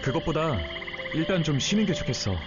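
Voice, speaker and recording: A man voicing a gentle, thoughtful and protective young companion in a Korean game, earnest and caring. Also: a little high, animated, a little fast, muffled recording, loud music behind the voice, natural voice. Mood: gentle, reassuring. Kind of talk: Korean game character voice acting.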